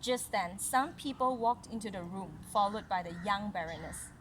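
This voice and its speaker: A woman reading a fairy tale aloud to children in an instructive way, pausing for chatty comments and questions. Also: mid-range voice, animated, a bit bright. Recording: noisy home recording.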